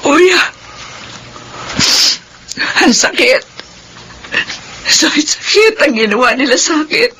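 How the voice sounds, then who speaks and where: A woman's voice: sits high, very animated, slow, pauses often, bright, forceful tone, a little hiss or hum, full character voice, poor audio. An actress playing a hot-tempered, betrayed middle-aged mother in a Filipino radio melodrama, scolding and wailing with a Filipino accent. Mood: wounded, resolute. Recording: radio drama with music underneath.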